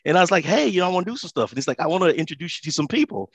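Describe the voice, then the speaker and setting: A man's voice: high voice, big pitch swings, racing, husky, dry recording. Enthusiastic rambling male host, dry sound. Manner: enthusiastic, rambling, lots of fillers and repeated words. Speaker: interview host. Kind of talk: casual interview chat.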